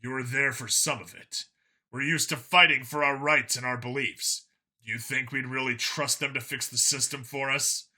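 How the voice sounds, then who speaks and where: A man's voice: medium pitch, steady tone, normal speed, sharp tone. A man voicing an earnest, argumentative, world-weary male cop in an audiobook, arguing in long, insistent speeches. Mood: heated, bitter.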